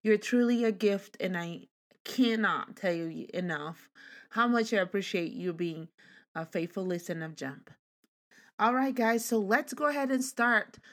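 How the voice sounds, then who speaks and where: A woman's voice: mid-range voice, fairly bright tone. A woman hosting a podcast, introducing her guest with gushing, enthusiastic praise. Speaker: podcast host. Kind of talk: podcast interview.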